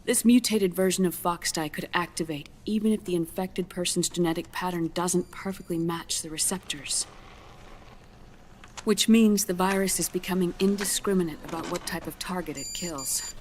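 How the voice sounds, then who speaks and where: A woman playing a grave scientist in a video game, explaining a dire diagnosis in a measured, solemn, clinical manner. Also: on the low side, darkish tone, slight background noise, close to an everyday voice. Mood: explanatory, urgent. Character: grave female scientist gently delivering a dire prognosis. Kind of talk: dramatic video game cutscene acting.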